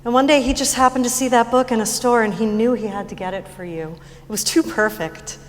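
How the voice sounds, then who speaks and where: Woman doing a worried gentle mom in a stage play, coaxing and hesitant, highish voice, big echoey hall. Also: fairly even intonation, lightly acted. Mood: warm, wistful. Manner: halting, tentative, coaxing. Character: gentle, anxious mother coaxing her grieving daughter. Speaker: actor in staged reading of musical. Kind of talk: live stage reading acting. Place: high school stage. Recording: echoey hall, long reverb.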